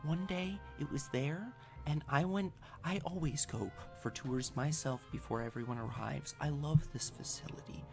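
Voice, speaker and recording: Man voicing a nervous young woman, pitched up. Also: normal speed, gravelly, over music. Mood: hushed, worried. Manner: hesitant, stammering, apologetic. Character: nervous, polite young female museum employee.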